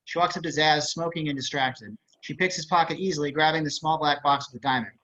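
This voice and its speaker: A man narrates a script at a table read. His voice is fairly high and forceful, carried over slightly dull video-call audio. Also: fast. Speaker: narrator of stage directions.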